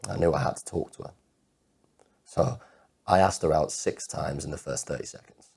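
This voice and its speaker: A man performing a dramatic monologue as an anxious, lovelorn man with obsessive compulsive disorder, halting and repeating his words. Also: rumbling low, fairly even intonation, creaky, darkish tone, husky, exaggerated voice. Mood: fond, nervy. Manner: halting, stammering repetition of words. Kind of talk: dramatic monologue performance of spoken-word poetry.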